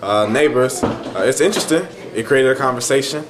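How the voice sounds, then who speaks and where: A man who works as a theater director, presenting informally and speaking straight to listeners, pausing mid-thought. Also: mid-range voice, over music. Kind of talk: informal spoken introduction.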